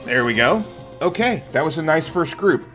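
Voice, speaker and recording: A man's voice: a little high, animated, moderate pace, fairly bright tone. Middle-aged man streaming over game music. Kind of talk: casual live gameplay commentary.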